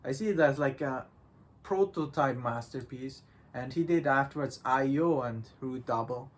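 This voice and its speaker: A man's voice: mid-range voice, moderate pace. A man in a casual discussion, talking in a hesitant, rambling way with non-native English phrasing. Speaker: visual novel reviewer in a discussion. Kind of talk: casual chat.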